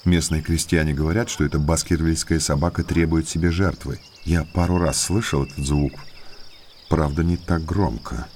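An actor playing a well-mannered male naturalist in an audio drama, an eager guide to eerie moors who speaks politely and deliberately. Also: a bass voice, steady tone, darkish tone, a little hiss or hum. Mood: musing, explanatory. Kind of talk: Russian audiobook drama acting.

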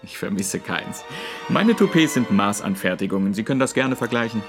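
An actor playing a vain widowed stage actor in a radio play, evasive and defensive while keeping up a smooth front. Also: male voice, fairly low pitch, expressive, normal speed, music in the background.

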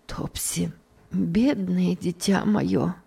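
A woman voicing a kind but firm middle-aged spinster who speaks softly and gently. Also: on the low side, very slow, gravelly, breathy edge, full character voice. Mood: tender. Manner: soft, gentle.

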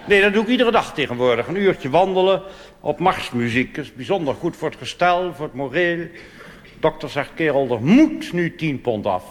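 A man's voice: fairly high pitch, expressive, normal speed, close to an everyday voice. A man doing a pompous old army officer. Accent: Dutch. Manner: pompous, rambling, tag questions. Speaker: cabaret comedian. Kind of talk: stand-up cabaret monologue in character. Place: theater stage before a live audience. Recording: live stage recording with hall reverb and hiss.

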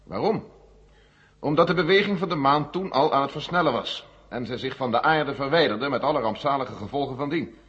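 A man acting a sober, authoritative general in a Dutch radio play, answering in a measured, formal way. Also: medium pitch, lively intonation, a bit bright, natural voice. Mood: grave, frank. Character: frank, sober American general leading a space agency. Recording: dull 1970 radio recording, echoing hall.

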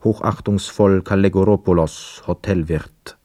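An actor playing a brooding young hotel guest, narrating a literary radio play in a reflective, measured, sombre manner. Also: male voice, deep voice, fairly even intonation, warm tone, no echo, studio quality.

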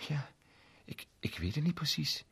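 A man's voice: fairly high pitch, big pitch swings, measured pace, gravelly, fairly bright tone, breathy edge, whispered, pitched above the performer's own voice, full character voice. A man reading a story, voicing a fussy, unmarried woman art teacher who stammers and repeats herself in a raised voice. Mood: excited.

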